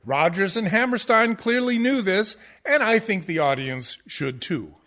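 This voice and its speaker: An actor playing a pompous, condescending theatre professor who lectures pedantically in a comic radio play. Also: male voice, sits high, animated, a little slow, bright, forceful tone, full character voice. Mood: smug, explaining. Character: pompous, condescending male musical-theatre professor, absurdly over-analytical. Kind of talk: comic radio play acting as interview guest.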